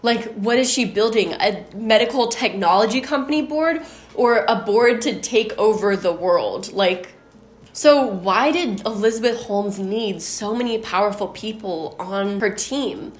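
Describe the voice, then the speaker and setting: A chatty woman tells a story in a loose, casual way, her voice on the deeper side. She leans on "like" as she talks. The sound is roomy, with a clear echo around her. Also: a little slow. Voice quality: somewhat deep voice. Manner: chatty, uses "like" often. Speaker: YouTube storyteller.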